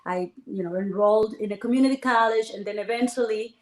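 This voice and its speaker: A woman from the Dominican Republic, a guest entrepreneur telling her story in a lively, animated conversational interview. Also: mid-range voice, fast talker. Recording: echoey room.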